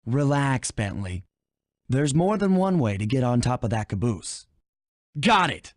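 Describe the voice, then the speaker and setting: A man's voice: medium pitch, sing-song, a little slow, no room sound, studio quality. A man voicing a suave young animal thief in a cartoon video game, wry, casual and unflappable. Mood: cool, confident. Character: suave, laid-back, wisecracking young male animal thief.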